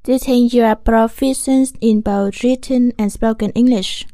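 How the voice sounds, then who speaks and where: A woman reading scripted interview questions aloud in a clear, measured way for a language course. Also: fairly high pitch, fairly even intonation, dark tone, dry recording.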